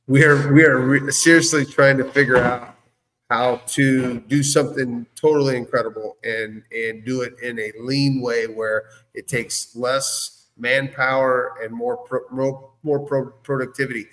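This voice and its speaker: A man's voice: mid-range voice, steady tone, unhurried, fairly bright tone, rough recording. A man in casual conversation, speaking earnestly as a co-host and often repeating and rephrasing himself. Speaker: business owner and guest co-host.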